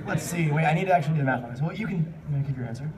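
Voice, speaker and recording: A man's voice: on the high side, rapid-fire. A man hosting a live fan panel, talking casually in a rambling, jokey, unscripted way and taking questions from the room. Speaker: panel host answering audience questions. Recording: distant mic in noisy live panel room.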